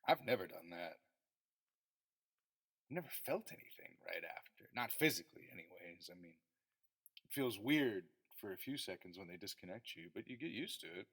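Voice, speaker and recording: A man's voice: lowish voice, very animated, moderate pace, gravelly, a bit bright, husky, exaggerated voice. An actor playing a jittery, exasperated male patient in a radio drama, rambling and wounded. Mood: subdued, resigned. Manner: rambling, agitated.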